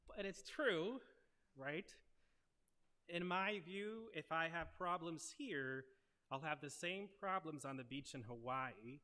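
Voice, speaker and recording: A man's voice: a little high, slow pace, pushed and bright. Young man preaching in a reverberant church. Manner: earnest, conversational preaching with rhetorical questions. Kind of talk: sermon. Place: wood-paneled church sanctuary at a lectern. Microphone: headset mic.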